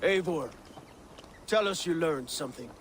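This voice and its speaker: An actor playing a brisk, teasing Viking warrior in a dramatic video game. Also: male voice, a little high, lively intonation, a little hiss or hum. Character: brisk, teasing male Viking brother-in-arms.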